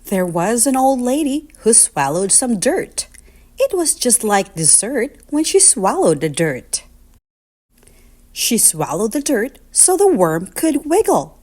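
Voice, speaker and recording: A woman reading a children's picture book aloud in an expressive, deliberate, sing-song storytelling manner. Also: on the high side.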